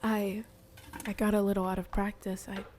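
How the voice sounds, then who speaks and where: A woman's voice: mid-range voice, little pitch movement, moderate pace, heavy background noise, lightly acted. A woman playing a guarded, hurting young daughter in a radio play. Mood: hesitant, evasive. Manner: hesitant, evasive.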